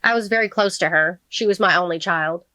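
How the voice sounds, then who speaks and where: A woman reading the part of a grieving, protective middle-aged father who testifies in court in a measured, sincere audiobook manner. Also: on the low side, bright, forceful tone, no room sound, clean studio sound. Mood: earnest.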